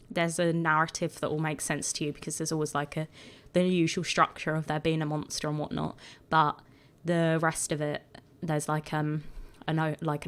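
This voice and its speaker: A woman with a croaky voice, chatting casually and rambling a bit as she talks over her video. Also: deep voice. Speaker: gaming video creator.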